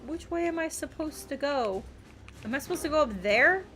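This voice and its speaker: Girl streamer w a higher voice talking to herself while gaming, music playing. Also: animated, normal speed, a bit bright. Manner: muttering reactions and self-questioning to herself. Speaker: video game streamer. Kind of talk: casual livestream gameplay commentary.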